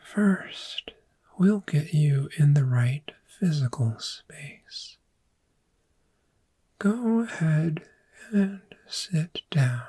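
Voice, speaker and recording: A woman voicing a calm, patient dragon therapist in a gentle, breathy, soft-spoken ASMR roleplay, with measured, reassuring instruction. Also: sits low, heavily acted. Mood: calm guiding. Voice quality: breathy, whispery.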